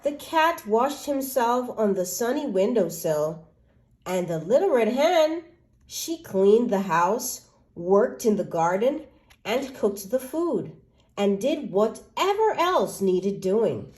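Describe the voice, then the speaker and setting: Woman, children's storyteller, animated intonation, slow read-aloud narration, expressive. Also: on the high side.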